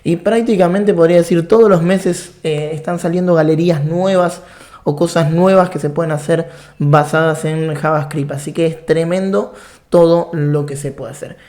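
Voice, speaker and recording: A man's voice: fairly high pitch, fairly even intonation, normal speed, darkish tone. A man with an Argentine accent giving an online programming lesson, explaining in a friendly way with frequent "eh" fillers. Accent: Argentine Spanish. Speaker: programming course instructor. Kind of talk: online course lecture.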